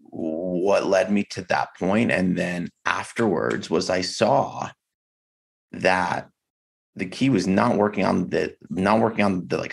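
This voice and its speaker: Male podcast guest, deep husky voice, reflective and halting delivery. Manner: reflective, halting, many fillers and restarts. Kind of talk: candid conversation. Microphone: video call.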